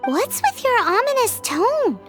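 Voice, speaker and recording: Anime game fairy sidekick: tiny girlish squeak, cheeky, bouncy intonation, music behind. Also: big pitch swings, unhurried, heavily acted. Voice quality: squeaky, childlike. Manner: refers to self in third person, exclamatory. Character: tiny childlike female fairy companion, chirpy and cheeky.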